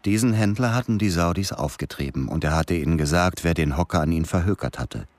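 An actor playing a grave, reflective first-person narrator in a radio drama, speaking in measured scripted lines. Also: male voice, deep voice, dry recording, close to an everyday voice. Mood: brooding. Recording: loud music behind the voice.

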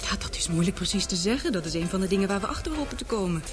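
A woman's voice: mid-range voice, animated, very fast, over music, natural voice. An actress playing a spirited, prickly young woman scientist in an old-fashioned radio play, articulate and self-assured. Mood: explaining.

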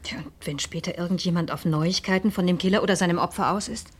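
Curious madame in a radio crime play, really low husky voice, wry and composed. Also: female voice, fast talker. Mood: practical. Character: curious, composed madame narrating her own dangerous case. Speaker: radio drama actress. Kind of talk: German radio crime drama acting and narration.

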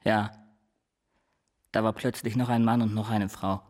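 An actor playing a devoted, dark, quiet killer henchman in a radio crime drama, answering tersely and obediently. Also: lowish voice, level tone, unhurried, lightly acted.

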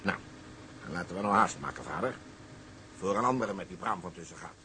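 Sea captain, radio drama: low male voice, brash, determined, emphatic, faint background noise. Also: expressive, brisk, bright, forceful tone. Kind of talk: Dutch radio drama acting.

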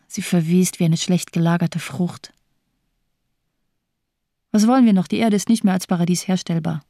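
Mellow, bitter woman doctor played by an actress. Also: on the low side, dry recording, studio quality, lightly acted. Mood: despairing. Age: 30s. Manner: declamatory, bitter, rhetorical with emphatic repetition. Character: bitter, cynical morphine-addicted woman doctor of 34. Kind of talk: radio drama monologue.

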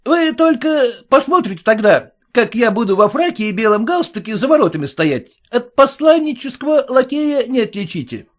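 A fawning, self-important footman with a high, bouncing voice that climbs on every boast, played by a man reading a story aloud. He chatters eagerly and ingratiatingly. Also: very animated, moderate pace, hardly any pauses, heavily acted. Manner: ingratiating, adds servile "-с" to words. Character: fawning ambitious male footman, puffed-up.

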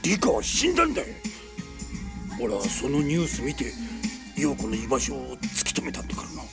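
Brash, swooping male lowlife. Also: wildly swooping pitch, normal speed, vocal fry, over loud music. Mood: angry. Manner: coarse slangy speech, brash. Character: rough, sneering male lowlife who pesters women. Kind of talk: radio drama acting.

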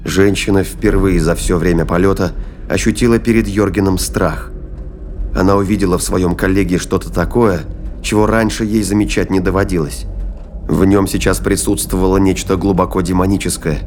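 A man's voice: low-pitched, fairly even intonation, moderate pace, a little mellow, soft background music. A man narrating a scripted audio drama as its storyteller.

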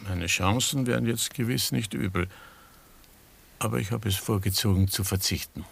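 An actor playing a proud, principled doctor in a German radio drama, speaking with formal, dry politeness. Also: male voice, fairly low pitch, moderate pace, vocal fry, slightly rough.

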